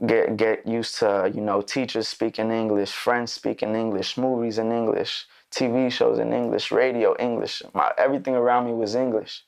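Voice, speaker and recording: Laid-back young man, rambling studio chat. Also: on the low side. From Mexico, raised in Chicago. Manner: relaxed, rambling, many fillers and restarts. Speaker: musician guest. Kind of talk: casual interview chat.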